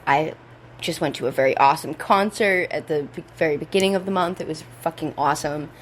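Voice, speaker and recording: A young woman hosting a casual podcast, rambling and laid-back, with a voice hoarse from a cold, talking at home. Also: sits low, a little fast, a little hiss or hum. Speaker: podcast host. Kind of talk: casual chat. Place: indoors at home.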